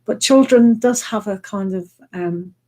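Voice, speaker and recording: A woman reading tarot cards live for viewers, chatty and full of ums, her voice hoarse from hours of talking. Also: medium pitch, measured pace, darkish tone. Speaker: tarot reader.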